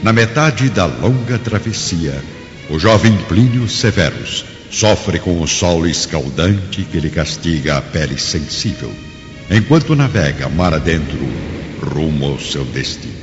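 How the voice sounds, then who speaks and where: Very deep, solemn Brazilian male narrator. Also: animated, unhurried. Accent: Brazilian Portuguese. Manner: grave, dramatic, declamatory. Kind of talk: solemn scripted radio drama narration. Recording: dull lo-fi, long reverb, music behind.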